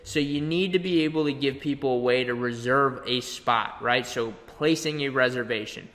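A young man presenting a conversational software tutorial, explaining step by step with little check-in questions. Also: mid-range voice, moderate pace. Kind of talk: conversational screen-share tutorial. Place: indoor room, webcam beside screen capture. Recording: long reverberant room echo.

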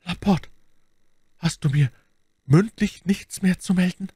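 A man's voice: a little high, lively intonation, normal speed, darkish tone, husky, no echo, studio quality, voice pushed up. A man voicing a wounded, dying English duke in an audiobook, imperious and pleading, with a faint, strained voice. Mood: impatient, disappointed. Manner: exclamatory, pleading, impatient. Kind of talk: dramatic audiobook character reading.

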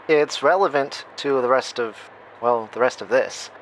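A man's voice: medium pitch, moderate pace, a little hiss or hum, close to an everyday voice, poor audio. A man acting a chatty, flippant hacker friend leaving a recorded message in a horror audio drama. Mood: explaining. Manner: rambling, flippant, self-interrupting.

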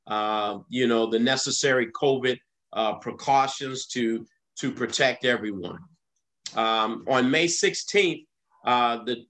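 A middle-aged man, a pastor, reading church announcements over a video call, pausing often with "uh" and "um". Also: medium pitch, fairly bright tone. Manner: halting, many "uh" fillers.

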